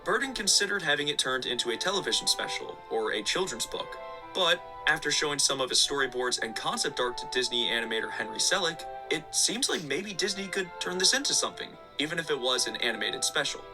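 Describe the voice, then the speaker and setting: A man's voice: fairly high pitch, expressive, brisk, poor audio. Man narrating a video essay over music. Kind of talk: scripted video-essay narration with casual asides. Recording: background music under the voice.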